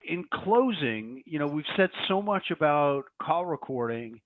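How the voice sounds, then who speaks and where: A man co-presenting in a conversational discussion, with halting, reflective phrasing. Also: fairly high pitch, expressive, no room sound. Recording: dry, thin phone-like line.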